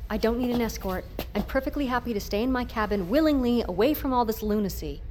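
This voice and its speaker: A woman's voice: on the high side, quick pace, background noise, natural voice. An actress playing an anxious, stubborn woman scientist in a naturalistic audio drama, argumentative and distrustful. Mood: exasperated, accusing.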